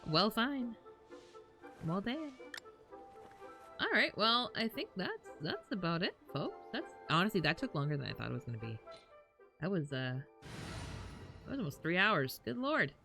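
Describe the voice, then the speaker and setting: A woman's voice: mid-range voice, sing-song, moderate pace, vocal fry, long pauses. A woman doing casual, informal game stream commentary, reacting as she goes, with game music behind her. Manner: informal, reactive, mild swearing. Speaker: live streamer.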